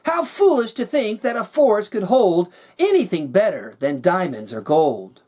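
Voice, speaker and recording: A man's voice: high voice, very animated, normal speed, dark tone, voice pushed up. A man voicing a cold, scheming, power-hungry king in a rhyming storybook, imperious and menacing. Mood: scornful muttering. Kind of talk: storybook character reading in rhyme.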